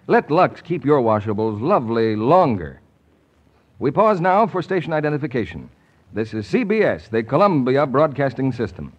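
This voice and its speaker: An American man announcing and pitching a sponsor in polished 1940s radio style. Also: husky. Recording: muffled, noisy old radio broadcast.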